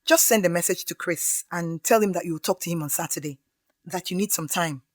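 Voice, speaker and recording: A woman acts a calm young friend in a radio drama. She has a fairly low voice in a dry recording, and reasons supportively in measured phrases. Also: no echo, lightly acted. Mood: sympathetic, advising.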